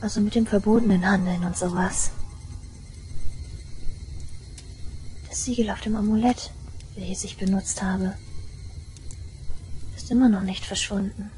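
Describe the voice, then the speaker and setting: A woman playing an obsessive, vengeful young shrine maiden in a German audio drama, eerily tender, with breathy whispers and slow menace. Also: medium pitch, fairly even intonation, mellow, a little hiss or hum, lightly acted, poor audio.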